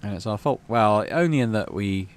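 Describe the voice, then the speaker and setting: British male gaming host, lowish voice with very varied pitch, casual commentary and read-aloud narration. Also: animated, moderate pace. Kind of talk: casual playthrough commentary, reading game text aloud.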